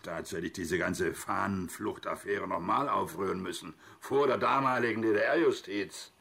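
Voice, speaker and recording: A low, gravelly older man, a bitter former army officer and grieving father in a radio play. He broods and hesitates, then grows emphatic, his voice husky and worn. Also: moderate pace, a bit bright, exaggerated voice. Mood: defensive, bitter. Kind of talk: radio drama dialogue.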